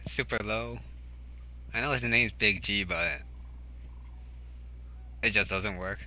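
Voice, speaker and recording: A man's voice: fairly low pitch, steady tone, takes it slowly, pushed and bright, slight background noise. A man giving casual commentary as he plays a video game. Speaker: video game player commenting on his playthrough. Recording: game music clearly behind the voice.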